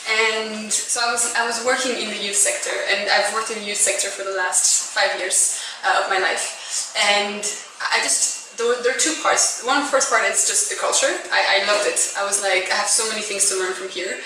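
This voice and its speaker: A woman's voice: medium pitch, fairly even intonation, moderate pace, hardly any pauses. A young woman from Belgium who grew up in France, an enterprise founder explaining her story earnestly in an informal interview. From born in Belgium, grew up in rural France. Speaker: social enterprise founder and managing director being interviewed. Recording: very echoey room, distant mic, background noise.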